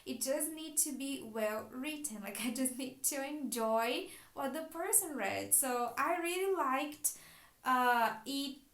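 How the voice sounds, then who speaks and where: High-voiced Brazilian woman chatting about books. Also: takes it slowly, a bit bright. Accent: Brazilian Portuguese. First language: Portuguese. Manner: chatty, thinking aloud, friendly. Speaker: book vlogger and private teacher. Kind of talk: casual book haul vlog. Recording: echoey room.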